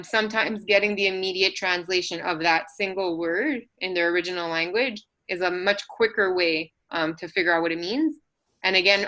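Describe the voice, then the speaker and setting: A woman presenting an assistive technology webinar, walking parents through software tools with frequent ums and self-corrections. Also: lowish voice, poor audio.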